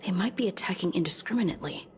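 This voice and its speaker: A woman's voice: lowish voice, very fast, slight background noise, lightly acted. Earnest female security officer, game character voiced by an actress. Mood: serious, concerned. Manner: serious, businesslike. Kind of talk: video game voice acting.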